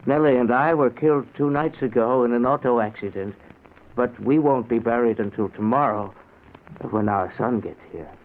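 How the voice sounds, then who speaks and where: An actor playing a mild, polite dead husband, reassuring and resigned, in an old-time radio drama. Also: male voice, mid-range voice, moderate pace, natural voice. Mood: calm explaining. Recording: noisy, narrow-band old radio recording.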